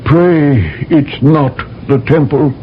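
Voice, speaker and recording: A solemn slow alien doctor. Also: male voice, a little high, slight background noise. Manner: measured, formal, slow speech. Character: solemn male alien physician, fatalistic. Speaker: physician third class. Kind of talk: 1970s radio drama acting. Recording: dull old radio broadcast.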